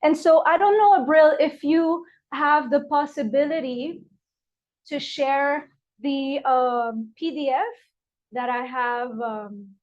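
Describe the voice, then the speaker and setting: A woman's voice: high voice, slow pace, darkish tone. A woman from Morocco, an herbalist, giving a heartfelt live online workshop with frequent fillers from her apothecary over a video call. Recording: dull lo-fi video call audio.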